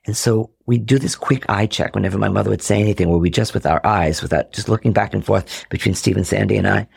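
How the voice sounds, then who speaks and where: A man in his forties from Rhode Island, a podcast guest telling candid stories, acting out family dialogue in lively bursts. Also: fairly low pitch, fast, creaky, breathy edge, no echo. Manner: animated, acts out quoted family dialogue. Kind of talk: candid storytelling in conversation.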